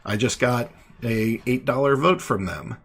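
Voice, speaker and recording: A man's voice: medium pitch, measured pace, gravelly, husky. Chatty male talk-show host. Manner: relaxed, chatty, many fillers and restarts. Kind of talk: casual live call-in chat.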